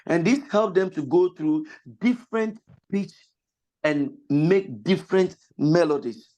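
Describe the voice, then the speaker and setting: Slow-paced, high-voiced pastor teaching voice with a cold. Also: male voice, darkish tone, rough recording. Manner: explains slowly, repeats points, breaks into sung examples. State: has a cold, voice slightly lost. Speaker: pastor and vocal lecturer. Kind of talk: online vocal training lecture with sung demonstrations. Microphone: video call.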